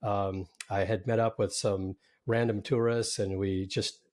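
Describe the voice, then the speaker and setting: A man's voice: fairly low pitch, little pitch movement, measured pace, a little mellow. A man hosting a startup podcast, interviewing a founder in an upbeat, conversational way. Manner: upbeat, scripted intro then conversational. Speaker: podcast host.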